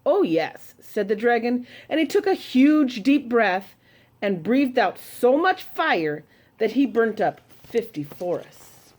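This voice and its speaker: A woman telling a children's story to a congregation, expressive and animated. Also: a little high, slow pace. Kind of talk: reading a children's story aloud.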